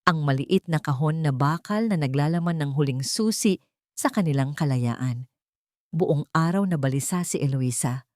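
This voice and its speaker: A woman narrates a dramatic story. Her voice sits a little low for a woman, and the sound is dry and close, as scripted narration. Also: moderate pace, darkish tone, dry recording.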